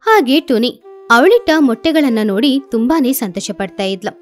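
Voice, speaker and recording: A woman's voice: on the high side, big pitch swings, a little fast. A woman narrating a scripted children's story as the storyteller.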